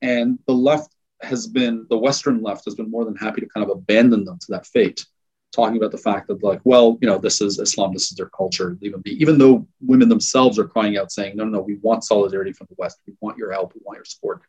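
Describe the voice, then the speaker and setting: A man from Iran talking as an interview guest, thoughtful and measured, often restarting and qualifying his words. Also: lowish voice, steady tone, a little mellow, husky, highs cut off. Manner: thoughtful, with frequent restarts and hedges. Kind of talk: conversational interview talk.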